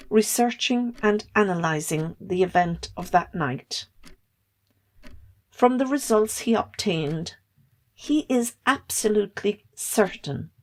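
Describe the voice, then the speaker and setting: Stern, slow-speaking woman lawyer acted. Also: medium pitch. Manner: formal, deliberate, authoritative. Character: stern, formal woman lawyer questioning a witness. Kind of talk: amateur recorded stage drama acting.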